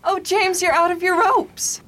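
Woman as an earnest, high-voiced young woman. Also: fairly even intonation, a little slow, a bit bright. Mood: concerned, fond. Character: earnest, protective young woman, fond and scolding. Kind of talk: radio mystery acting. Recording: faint rumbling background noise.

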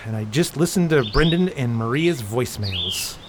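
An actor playing a weary, haunted war veteran in an audio drama, speaking in a downbeat way. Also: male voice, mid-range voice, animated, normal speed, noisy background, natural voice. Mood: weary, subdued. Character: weary haunted male war veteran back after long absence.

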